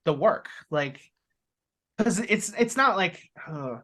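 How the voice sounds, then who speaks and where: Woman podcast co-host, a bit low-pitched, casual and rambling with frequent restarts. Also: lively intonation, fairly bright tone. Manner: rambling, halting, laughs often.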